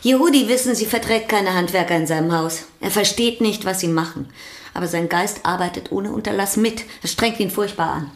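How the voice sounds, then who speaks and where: An actress playing a younger sister and pianist who speaks in a dry, pointed, ironic way. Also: female voice, medium pitch, a little fast, roomy sound, natural voice. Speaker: pianist figure. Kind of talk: radio play acting.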